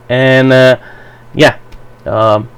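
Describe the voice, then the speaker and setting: A man whose first language is Romanian, giving a blunt, profane, halting commentary for his channel. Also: mid-range voice, creaky, clean tone. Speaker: vlogger. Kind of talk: rambling personal commentary.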